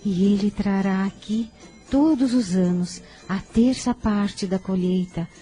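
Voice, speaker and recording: A devoted mother, earnest and gentle in a radio drama. Her mid-pitched voice is extremely mellow, with soft music in the background. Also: takes it slowly, breathy edge.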